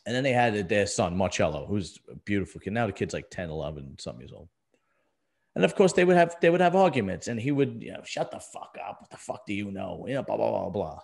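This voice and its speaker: A man's voice: on the low side, very animated, fast talker, creaky, a little mellow, slightly rough. A middle-aged man hosts a casual podcast. He talks bluntly and emphatically, hammering his points by saying them again. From US East Coast. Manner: blunt, emphatic, repeats himself. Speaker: podcast host. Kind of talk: casual conversational podcast talk.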